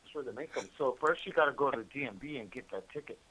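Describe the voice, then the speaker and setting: A man chats casually over the phone with a bright, forceful tone. His pitch sits in the middle, and he speaks in slangy bursts, often repeating himself. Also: fast, husky, rough recording. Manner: slangy, repetitive, talks in bursts. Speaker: incarcerated caller. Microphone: phone call.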